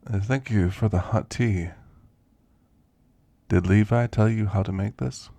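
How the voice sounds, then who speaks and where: A man playing a kindly elderly werewolf in an ASMR roleplay, with a gravelly voice and a folksy, gently chatty manner. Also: lowish voice, a little slow, no room sound. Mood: gentle, friendly. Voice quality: gravelly, creaky. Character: kindly, frail elderly male werewolf, teasing and grandfatherly. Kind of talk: ASMR roleplay, intimate character monologue.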